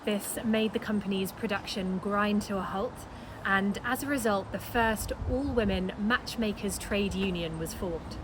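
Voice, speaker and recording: A woman's voice: medium pitch, measured pace. A woman giving a scripted storytelling narration. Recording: clear urban traffic noise behind. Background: cars and street ambience.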